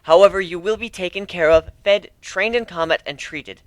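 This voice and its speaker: Man acting a commanding griffin leader, raised pitch. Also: normal speed, a bit bright, no room sound. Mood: solemn, commanding. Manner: declarative, formal. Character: firm, commanding young griffin leader passing judgment.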